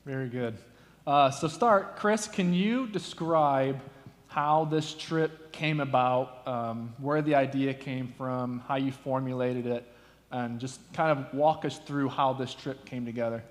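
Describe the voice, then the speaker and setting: A man's voice: mid-range voice, unhurried. Moderator, man, friendly questioner, echoing church hall. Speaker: panel moderator. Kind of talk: panel discussion. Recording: echoey hall.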